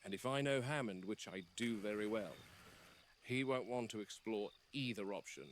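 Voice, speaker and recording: Man acting grave, low-voiced game warden, noisy recording. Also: lightly acted. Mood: measured, concerned. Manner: measured, serious, formal delivery. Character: grave, wary male game warden logging dinosaur observations. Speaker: narrator of a horror log. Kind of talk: scripted analog horror voice acting.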